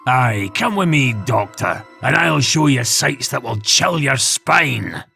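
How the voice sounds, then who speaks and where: Casting: male actor as spooked rustic farmer, gravelly, unhurried, insistent, folksy speech for audio drama. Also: mid-range voice, expressive, sharp tone, faint music behind, exaggerated voice. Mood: ominous. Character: earnest, spooked country farmer, old-fashioned rustic. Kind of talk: fan audio drama acting.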